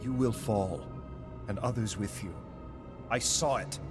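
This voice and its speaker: A man's voice: on the low side, a little slow, lightly acted. A man voicing an intense, accusing Jedi rival in a video game, dramatic, ominous and insistent. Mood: grim warning. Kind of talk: video game cutscene voice acting. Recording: loud music behind.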